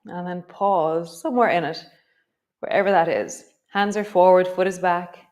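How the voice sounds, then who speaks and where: A middle-aged woman, gently guiding a yoga class with a slightly stuffy nose. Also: fairly low pitch. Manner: gentle, encouraging, drawn-out cues. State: stuffy nose from a coming cold. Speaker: yoga teacher. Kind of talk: guided yoga class instruction.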